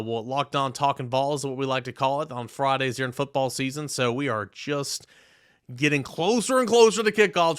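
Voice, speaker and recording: A man's voice: medium pitch, a bit bright, clear, resonant tone, no echo, studio quality. A man hosting a sports podcast, talking conversationally as he introduces segments and puts questions to a guest. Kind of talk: conversational show intro and interviewing.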